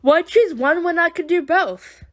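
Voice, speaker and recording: A woman voicing an excitable, exasperated heroine in an audio drama, with exclamatory, sarcastic complaints. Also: extremely high pitch, measured pace. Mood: upbeat, brisk. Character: exasperated, excitable woman who teleports with gadgets. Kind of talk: amateur audio drama acting.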